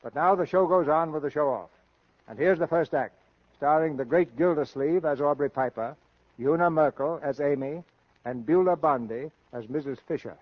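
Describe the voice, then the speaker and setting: A man presents a vintage radio theater program with formal, measured showmanship. His voice is a little high and closely dry. The recording is muffled, like an old broadcast. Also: dry recording. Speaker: radio theater host and narrator. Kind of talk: scripted 1930s-40s radio presentation.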